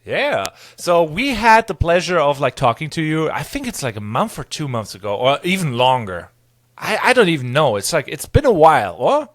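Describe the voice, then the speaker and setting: A man's voice: on the high side, sing-song, moderate pace, a bit bright. A man co-hosting a podcast, asking questions in a loose, rambling way with many fillers. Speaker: podcast co-host. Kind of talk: casual conversational interview.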